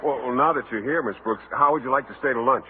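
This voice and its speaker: An actor playing a mild-mannered, oblivious bachelor who stammers a little, in a 1950s radio sitcom. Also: male voice, mid-range voice, quick pace, narrow, tinny audio, lightly acted. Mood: mild, earnest. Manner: hesitant, stammering. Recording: thin 1950 radio broadcast with hiss.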